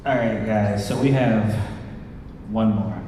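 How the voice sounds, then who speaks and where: A man's voice: lowish voice, takes it slowly, warm tone, a little hiss or hum. A man hosting a casual fan panel, talking informally to the room as the host. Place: large convention panel room. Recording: echoey hall, distant from the mic.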